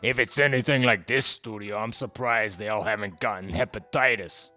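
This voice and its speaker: Man playing a sharp, sarcastic host. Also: lowish voice, normal speed, faint music behind. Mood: snide, exasperated. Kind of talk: scripted comic banter.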